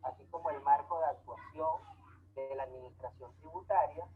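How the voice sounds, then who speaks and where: Hombre venezolano en una ponencia online, sonido telefónico. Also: male voice, on the high side, soft background music. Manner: measured, explanatory, frequent hesitations. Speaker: lecturer on tax law. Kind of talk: online lecture presentation. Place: home, remote online talk. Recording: tinny phone-like audio, faint noise.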